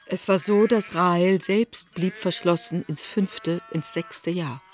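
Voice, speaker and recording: A woman's voice: lowish voice, fairly even intonation, slow, faint music behind. A woman narrating a literary radio reading in a precise, formal way.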